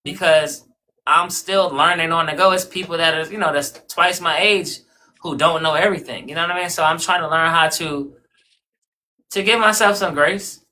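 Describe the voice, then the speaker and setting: A man's voice: a little high, moderate pace, pushed and bright, rough recording. A man in his thirties, a barber guest on a podcast, talking casually and reflectively with lots of "you know what I mean.". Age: 30s. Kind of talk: casual interview chat.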